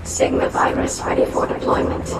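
An actor playing a cold computer system making announcements in a video game, with robotic processing. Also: male voice, moderate pace, very echoey, recorded from a distance, heavy background noise.

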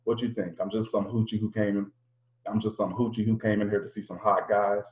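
A teasing young woman in a boxing gym, as a man reads her lines. The voice stays soft and a bit low, and it sounds muffled in a roomy space. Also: level tone, a little fast, lightly acted. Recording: roomy lo-fi home recording.

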